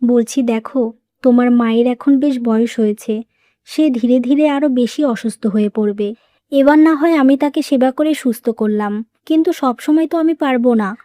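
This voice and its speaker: Woman acting high, mellow young daughter-in-law. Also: no echo, studio quality, close to an everyday voice. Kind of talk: cartoon moral story voice acting.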